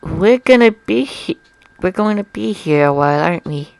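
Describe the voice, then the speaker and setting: A man's voice: high-pitched, animated, moderate pace, vocal fry, clear, resonant tone, no room sound, voice pushed up, rough recording. A man voicing a polite, inquisitive, bookish young female pony in an amateur dramatic story reading. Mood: reluctant.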